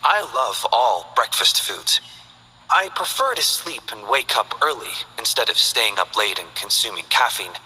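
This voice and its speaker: An actor playing a calm, reflective male scholar who speaks in a measured, precise way in game character voice lines. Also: fairly low pitch, slightly rough, full character voice, low-quality recording. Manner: measured, thoughtful, precise delivery.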